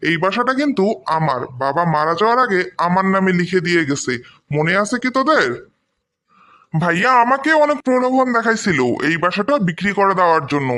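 A man's voice: high-pitched, pushed and bright, full character voice. A man voicing a defiant, protective young brother in a cartoon story, argumentative and insistent, with a Bangladeshi accent. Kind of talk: amateur cartoon story dubbing.

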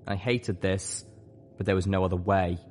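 A narrator reading a scripted story in the first person, with measured, expressive delivery. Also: low-pitched, a little hiss or hum. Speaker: audiobook reader.

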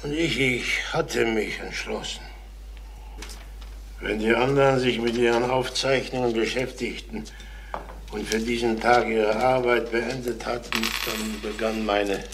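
An actor playing a troubled middle-aged ex-salesman turned burglar in a crime radio drama, halting, confessional and repeating his words. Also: medium pitch, background noise. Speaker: former travelling salesman.

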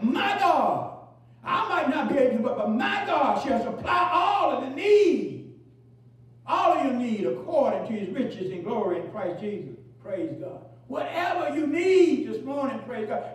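A man with a very high voice preaches in a booming, echo-filled space, heard from well back from the mic. He repeats phrases, punctuates them with praise and hallelujah, and swings from shouts down to low murmurs while calling for the church to respond. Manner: fills pauses with "praise God" and "hallelujah"; calls for congregation responses. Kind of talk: preaching a sermon. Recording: very echoey, from far off.

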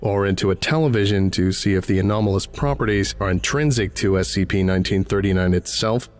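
Guy with a lowish voice doing formal documentary narration, clinical and measured. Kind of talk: scripted documentary-style narration.

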